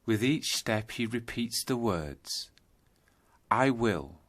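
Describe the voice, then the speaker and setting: A man narrating a scripted guided yoga nidra meditation, telling a journey in measured second-person storytelling. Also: medium pitch, expressive, fairly bright tone.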